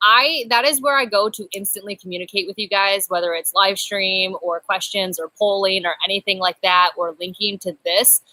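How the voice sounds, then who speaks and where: A woman's voice: medium pitch, moderate pace, hardly any pauses, dry recording, poor audio. A young woman hosting a casual live stream from an outdoor patio, chatty and upbeat, picked up by a laptop webcam. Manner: chatty, upbeat, self-described loud voice. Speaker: channel host.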